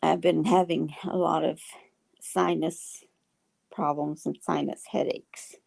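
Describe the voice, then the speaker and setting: A woman, hosting a casual livestream and chatting with viewers in a folksy way, her voice getting hoarse. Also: low voice, fairly even intonation, takes it slowly, darkish tone. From Texas. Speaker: livestream host. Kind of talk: casual chat reading viewer comments.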